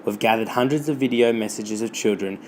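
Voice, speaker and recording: A man's voice: fairly low pitch, a little fast, a little mellow, slight background noise. A man delivering scripted narration as the narrator for a campaign.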